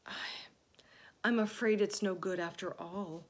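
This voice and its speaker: Casting: woman doing a careful, soft-spoken boy for a storybook, slow and breathy. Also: mid-range voice, steady tone, natural voice. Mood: apologetic. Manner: hesitant, careful. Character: thoughtful, hesitant young boy.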